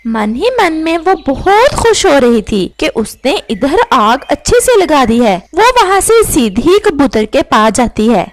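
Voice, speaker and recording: Woman storyteller, high lively voice, scripted children's tale narration. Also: unhurried, hardly any pauses.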